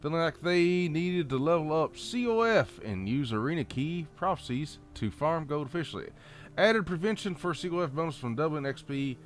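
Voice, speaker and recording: A man with a Southern American accent reads update notes aloud in a folksy, halting way, adding off-the-cuff comments. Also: fairly high pitch. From Southern United States. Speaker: gaming channel host.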